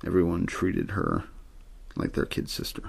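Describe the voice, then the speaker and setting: A man reads a novel aloud for an ASMR audience. His voice is low and full of heavy, crackling fry, with a husky grain. He keeps the delivery plain and untheatrical, like an amateur narrator helping someone drift off. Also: very animated, measured pace. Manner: untheatrical, low-key delivery with occasional stumbles. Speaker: amateur audiobook reader. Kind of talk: ASMR story reading, scripted narration.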